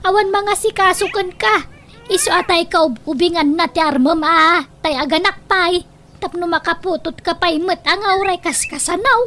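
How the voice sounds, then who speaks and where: A high-pitched, outspoken older sister played by a woman in an Ilocano comedy radio drama. She teases and prods in an Ilocano accent, with faint noise behind her. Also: moderate pace, bright, forceful tone. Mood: nagging, teasing. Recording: faint background noise and ambience.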